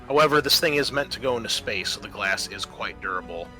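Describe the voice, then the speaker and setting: A man's voice: on the low side, animated, fast talker, bright, forceful tone. A man running a tabletop roleplaying game as game master, narrating scenes and explaining the rules to players. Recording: music under the voice. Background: music.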